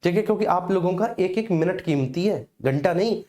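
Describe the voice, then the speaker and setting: A man's voice: high-pitched, animated, normal speed, darkish tone. A man teaching an informal live online exam class, instructing repetitively and often checking that students follow.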